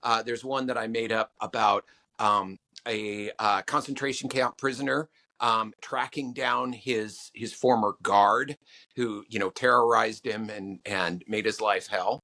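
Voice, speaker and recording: A man being interviewed as a songwriting guest, talking in halting stops and restarts with many fillers. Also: steady tone, sharp tone. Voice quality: low voice. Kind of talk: podcast interview answers.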